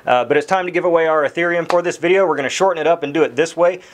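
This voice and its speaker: A man's voice: fairly high pitch, racing, a little hiss or hum. A man in his thirties hosting his own channel, talking casually over footage with lots of fillers in a small home office. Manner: relaxed, rambling, many fillers. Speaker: channel host. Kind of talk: casual commentary over video. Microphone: desk mic on boom arm.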